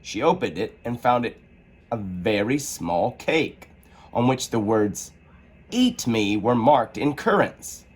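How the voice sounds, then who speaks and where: A man reading a children's storybook aloud as an expressive storyteller. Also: medium pitch, slow pace, slightly rough.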